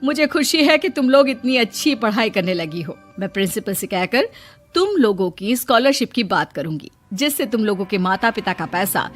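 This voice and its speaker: An earnest female college teacher from a cartoon story, voiced by a woman. She speaks approvingly at a medium pitch, with music playing behind her. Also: lively intonation, moderate pace, natural voice. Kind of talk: animated moral story dialogue.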